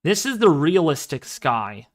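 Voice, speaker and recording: A man in his twenties hosting a casual livestream, talking through an astronomy program with excitable exclamations as he thinks aloud. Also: on the high side, unhurried. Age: 20s. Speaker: livestream host.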